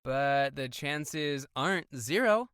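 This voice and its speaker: A playful, excitable teenager in an audio drama. The delivery is slangy and swings widely in pitch, with a dry, close sound. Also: female voice, slow, clear, resonant tone, no room sound, heavily acted. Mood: playful, excited. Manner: slangy, animated. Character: playful, excitable comic-loving teenage kid. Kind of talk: naturalistic audio drama acting.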